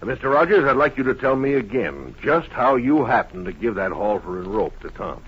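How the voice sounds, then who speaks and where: An actor playing a steady, folksy small-town sheriff in an old radio Western, questioning people in a measured, matter-of-fact way. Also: male voice, medium pitch, slightly rough. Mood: firm, questioning. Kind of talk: 1950s radio Western acting. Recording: muffled old-time radio recording.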